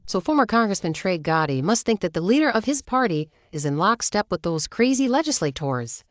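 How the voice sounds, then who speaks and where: A woman's voice: medium pitch, very animated, a little fast, no echo. A woman anchoring with scripted political commentary.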